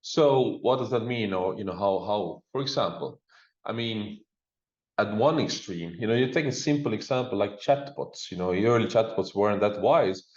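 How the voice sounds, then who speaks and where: Low-voiced Estonian man, muffled roomy sound. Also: moderate pace, a little mellow. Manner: informal, uses frequent discourse markers like "look" and "you know". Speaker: guest speaker, digital government expert. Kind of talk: conference presentation, conversational. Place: speaking remotely over a video link.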